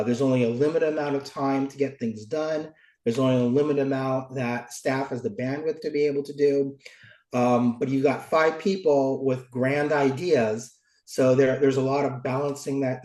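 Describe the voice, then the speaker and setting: A middle-aged American man, stuffy with a cold, giving deliberate, articulate interview answers as a local politician. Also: medium pitch, darkish tone. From Oakland, California, United States. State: stuffy from a cold, cough drop in mouth. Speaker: local politician, former mayor. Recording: roomy, echoing sound.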